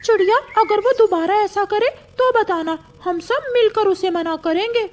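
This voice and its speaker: A woman's voice: very high-pitched, moderate pace, darkish tone. A woman voicing an indignant, emphatic parrot in a children's cartoon story. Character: loud indignant parrot, bird friend.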